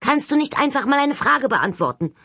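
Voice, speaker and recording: A woman's voice: high voice, big pitch swings, normal speed, pushed and bright, no echo, clean studio sound, pitched above the performer's own voice, full character voice. A woman voicing a plucky, blunt teenage girl ghost hunter in an audiobook, spirited and urgent. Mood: impatient.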